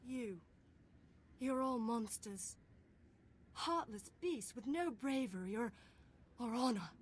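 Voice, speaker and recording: An actress playing an anguished, vengeful noblewoman in a video game, melodramatic and drawn-out. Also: on the high side, expressive, a little hiss or hum. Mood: bitter, vengeful.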